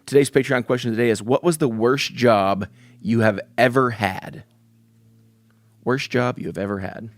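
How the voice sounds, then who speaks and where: A man's voice: medium pitch, normal speed, dry recording. A male podcast host reading a sponsor message and welcoming listeners in an upbeat, announcer-like way. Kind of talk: podcast intro and sponsor read.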